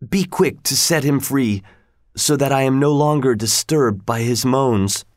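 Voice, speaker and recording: A man's voice: mid-range voice, measured pace, a little mellow, no room sound, pitched above the performer's own voice, lightly acted. Storybook role: stern Sultan disguised as a servant, solemn, reproachful, slightly raised voice, played by a male narrator. Dry sound. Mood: demanding.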